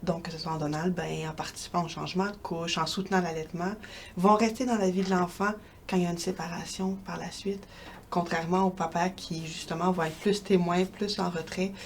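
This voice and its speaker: A woman from Quebec with a Québécois accent, answering interview questions as a researcher in a measured, academic way. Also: on the low side, fairly even intonation, slight background noise. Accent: Québécois French.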